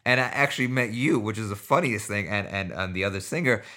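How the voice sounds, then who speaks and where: A man's voice: on the low side, quick pace, sharp tone. A man hosting a podcast, asking questions in a loose, rambling way with lots of fillers. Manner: enthusiastic, rambling, with many fillers. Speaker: podcast host. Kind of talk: casual podcast interview.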